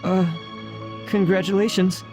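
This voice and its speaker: A man's voice: sits high, little pitch movement, a little slow. A man voicing a mild, agreeable, hesitant candidate in a video game, stammering a little. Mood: timid. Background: game music.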